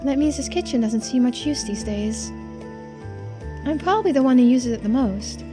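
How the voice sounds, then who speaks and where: A woman puts on a sweet young visual novel heroine with a very high voice. She sounds anxious and earnest, hesitant yet stubborn, with music playing behind her. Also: expressive, moderate pace. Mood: gentle, chatty. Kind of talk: visual novel character voicing.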